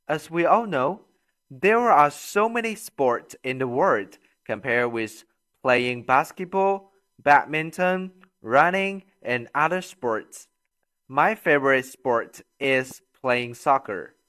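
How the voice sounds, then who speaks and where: A man presenting a scripted language lesson as a grammar teacher, instructional and deliberate, with read-after-me drills. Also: mid-range voice, expressive, bright, forceful tone.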